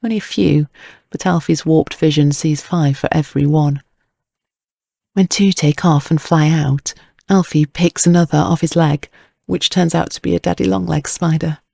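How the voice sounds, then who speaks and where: A man's voice: a little high, steady tone, normal speed, creaky, darkish tone, no echo, studio quality. A man reading scripted dramatic narration as an audiobook narrator.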